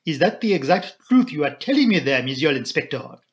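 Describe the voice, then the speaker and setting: A man voicing an excitable, grave and insistent foreign detective, emphatic and halting over English idioms in an audiobook story. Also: on the high side. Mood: probing, insistent.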